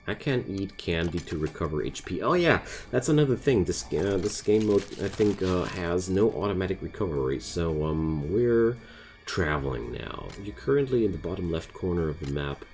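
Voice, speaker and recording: Very deep-voiced German man commentating gameplay. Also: expressive, normal speed, darkish tone. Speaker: gaming video creator. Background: music.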